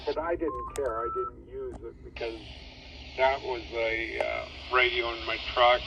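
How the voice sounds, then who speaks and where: Slow-talking man over a dull radio link. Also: medium pitch. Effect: two-way radio transmission. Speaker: amateur radio operator, volunteer examiner. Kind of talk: casual radio chat. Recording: dull radio transmission, faint noise.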